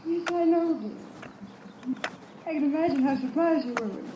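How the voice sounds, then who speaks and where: A woman's voice: sits high, unhurried. An actress playing a catty, scheming woman, sweetly arch and accusing, in an old-time radio drama. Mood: conspiratorial, cooing. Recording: noisy, muffled, echoey old radio recording.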